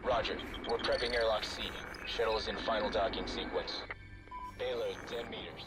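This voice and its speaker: A man acting a calm, procedural space station controller in a military shooter game. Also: fairly low pitch, moderate pace, close to an everyday voice. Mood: calm, businesslike. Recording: loud mechanical noise behind.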